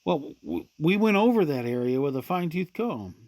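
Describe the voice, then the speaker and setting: A man's voice: on the high side, very animated, moderate pace, a little mellow. A man playing a wary, reluctant forest ranger in a radio drama.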